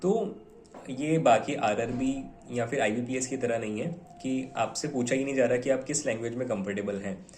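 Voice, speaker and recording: Young man, mid-pitched, chatty advice to camera, recorded in a roomy space. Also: moderate pace, darkish tone, a little hiss or hum. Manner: conversational, mixes Hindi with English words, fillers like "theek hai". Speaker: bank officer giving exam advice. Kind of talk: casual advice talk to viewers. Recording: echoey room.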